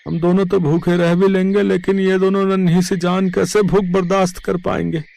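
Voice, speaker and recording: A man's voice: high-pitched, flat delivery, moderate pace, creaky, a little mellow. A man voicing a poor village farmer, an earnest, plaintive husband and father, in a moral story. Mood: worried.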